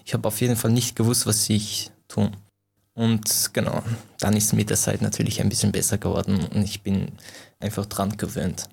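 Gravelly young man. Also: on the low side, level tone, darkish tone. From Iran. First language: Farsi. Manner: halting, searching for words, frequent restarts. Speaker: interviewee. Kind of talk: casual interview answers.

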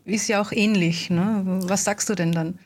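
Woman interviewer, gently rephrasing. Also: medium pitch, steady tone, moderate pace. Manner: gentle, follows up by rephrasing his answers. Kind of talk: interview questions.

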